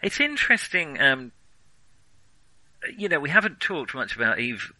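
A British man co-hosting a film podcast, chatting casually in a hesitant, halting way. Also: a little high, big pitch swings, pushed and bright, no echo, poor audio.

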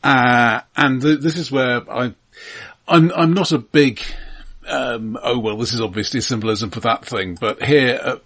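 A man co-hosting a podcast, talking analytically but casually about film in measured, articulate, slightly hesitant phrases. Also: medium pitch, a bit bright. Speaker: podcast co-host.

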